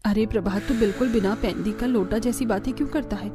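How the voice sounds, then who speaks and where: A woman's voice: mid-range voice, fast talker, music in the background, natural voice. A woman voicing a scolding older village mother-in-law who is protective and exasperated, in a family cartoon. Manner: scolding, exasperated, rustic idioms. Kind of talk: Hindi family cartoon voice acting.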